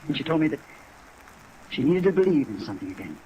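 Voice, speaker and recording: A man's voice: fairly high pitch, sing-song, fast talker, mellow, dull audio. A man playing a defensive beach-bum actor in his mid-thirties in a 1950s radio drama, hesitant and earnest. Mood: earnest, uneasy. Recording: old radio recording, faint rainy hiss.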